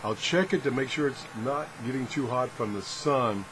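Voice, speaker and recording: A man's voice: medium pitch, moderate pace, fairly bright tone, slight background noise, rough recording. A man giving a casual, unscripted product demonstration, rambling and thinking aloud in a good-humored, self-deprecating way. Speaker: host of a radio product test video.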